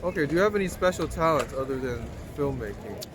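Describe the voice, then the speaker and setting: A man's voice: medium pitch, expressive, fast talker. A man interviewing someone in a casual, conversational way, asking simple questions. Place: outdoors in the city. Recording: noisy outdoor city street with traffic.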